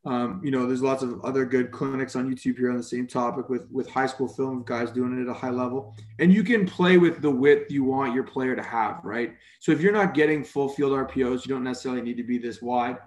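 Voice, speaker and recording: A man's voice: medium pitch, fairly even intonation, brisk, hardly any pauses, a little mellow. Man explaining defensive football plays, echoey. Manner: casual and explanatory, frequent fillers. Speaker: football coaching clinic presenter. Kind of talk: screen-share coaching clinic on a video call. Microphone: video call microphone.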